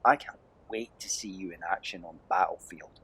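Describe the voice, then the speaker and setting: A man voicing a sneering, sarcastic Viking boy bully in an audiobook, speaking quietly. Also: low voice, normal speed, husky, pitched below the performer's own voice, full character voice.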